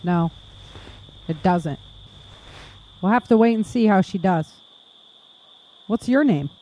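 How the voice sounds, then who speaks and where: A woman playing a caring, honest survivor in an audio drama, gentle and direct in manner. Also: medium pitch, animated, slow pace, poor audio. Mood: gentle concern. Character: caring, honest female survivor comforting a child.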